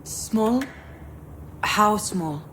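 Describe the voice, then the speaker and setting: Flustered, sardonic woman, very animated pitch. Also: very slow, a little hiss or hum. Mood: shaken. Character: Junon, flustered defensive adult daughter and artist's assistant. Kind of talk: naturalistic visual novel game acting.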